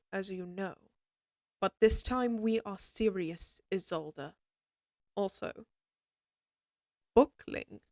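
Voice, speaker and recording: A woman's voice: fairly high pitch, darkish tone, dry recording. A woman acts out an imperious middle-aged lady of a fantasy castle. She speaks formally and with clipped command, in a very dry, close recording. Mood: coolly insistent. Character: stern, imperious noble mother of a lady knight. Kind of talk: scripted fantasy audio roleplay acting.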